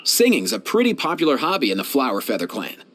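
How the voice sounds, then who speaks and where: An actor playing a kindly, laid-back young veterinarian in a video game, talking in casual slang. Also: male voice, medium pitch, huge pitch swings, quick pace, a bit bright, slight background noise. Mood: relaxed, friendly. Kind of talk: game voice lines, conversational.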